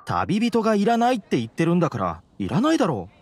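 A man's voice: high-pitched, huge pitch swings, fast, exaggerated voice. An actor playing an exasperated but good-natured young male alchemy assistant in an anime game, earnest and protesting. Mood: wary, exasperated.

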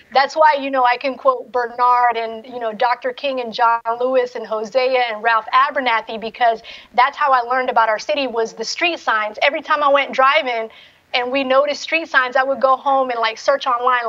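Young woman. Articulate, affirming panel host, high voice, lo-fi call audio. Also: a bit bright. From Somalia, raised in Atlanta from childhood. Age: young adult. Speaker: panel moderator. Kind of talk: panel discussion, conversational. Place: indoor room at home, on a video call. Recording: dull video-call audio.

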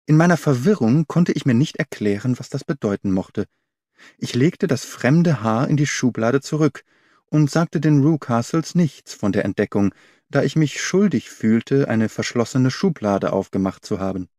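Audiobook narrator: man as observant young governess telling her mystery, precise delivery, mid-range pitch, dry recording. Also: expressive, moderate pace, darkish tone, no echo, natural voice. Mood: intrigued, uneasy. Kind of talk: first-person audiobook narration.

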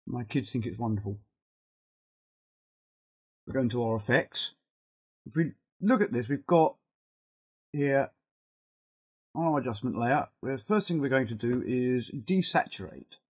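A middle-aged man with a cold and a fading, husky voice, talking haltingly through an informal step-by-step software tutorial and thinking aloud as he works. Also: mid-range voice, expressive. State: has a cold, losing his voice. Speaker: video editing tutorial presenter.

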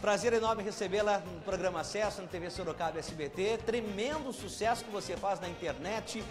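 A man in his thirties interviews a guest with cheerful, talkative energy, holding a handheld mic on an indoor studio set. His voice sits high for a man, and music plays underneath. The sound is a TV feature. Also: a little fast. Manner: upbeat, chatty presenter.

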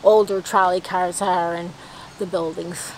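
A woman's voice: lowish voice, flat delivery, a little slow, a little hiss or hum, rough recording. An older woman chatting casually outdoors as co-host of a travel vlog, easygoing and agreeable.

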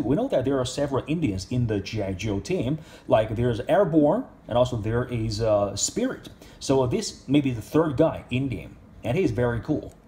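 A man's voice: mid-range voice, mellow, a little hiss or hum. A man reviewing an action figure in casual speech, with simple, non-native English phrasing. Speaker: toy reviewer.

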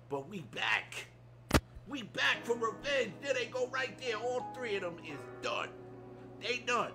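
An American man in his thirties hosting a game stream with excited, slangy commentary and trash talk. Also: on the high side. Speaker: streamer and gaming host. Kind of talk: excited gameplay commentary and banter. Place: indoor streaming setup. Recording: music playing behind the voice.

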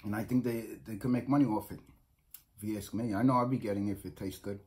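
A man's voice: lowish voice, fairly even intonation, fast, darkish tone. A middle-aged man with a New York accent, rambling casually to a close phone camera in a small room at home, often restarting his sentences. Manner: rambling, repeats himself, frequent restarts. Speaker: vlogger. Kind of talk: casual food review vlog. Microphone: phone or webcam close up.

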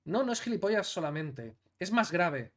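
A man's voice: high voice, big pitch swings, moderate pace, fairly bright tone. A man in his thirties from Catalonia giving indignant, emphatic commentary on clips, seated in a small room. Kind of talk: opinionated commentary video. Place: small room with blue LED lights, indoors.

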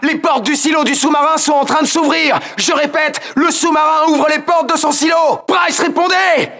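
A male actor playing a gruff, focused special forces soldier in a military video game, with terse, commanding delivery. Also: extremely high pitch, fairly even intonation, normal speed, pushed and bright, shouting, heavily acted. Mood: shouting alarm. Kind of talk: military game dialogue, radio callouts.

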